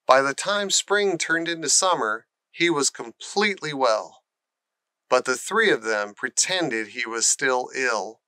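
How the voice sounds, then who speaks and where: A man reads a children's story expressively. His voice is crisp and bright, and he takes his time over each phrase. The sound is close and dry. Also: mid-range voice, dry recording. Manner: deliberate, expressive reading. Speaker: audiobook narrator. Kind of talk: scripted children's storybook narration.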